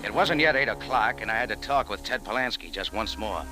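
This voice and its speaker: Man acting fast, hard-boiled American radio sleuth. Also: fairly low pitch, animated, close to an everyday voice, rough recording. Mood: wry, brisk. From United States. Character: tough American expatriate café owner and sleuth, blunt, sardonic. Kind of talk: old-time radio detective narration and acting. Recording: old radio drama, faint music behind.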